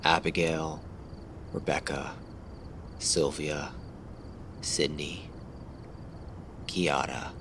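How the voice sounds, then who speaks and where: A man playing a determined, tough police detective in an audio drama, firm, deliberate and confrontational. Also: a bass voice, level tone, background noise, exaggerated voice. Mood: accusing.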